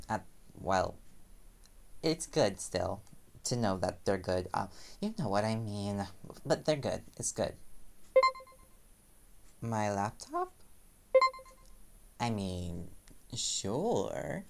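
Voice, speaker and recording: An eager young male reporter played by a man chats to a partner in a boyfriend audio roleplay. The delivery is teasing and very lively in pitch. Loud noise runs through the recording. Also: heavily acted. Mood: teasing, casual. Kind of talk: conversational boyfriend roleplay acting.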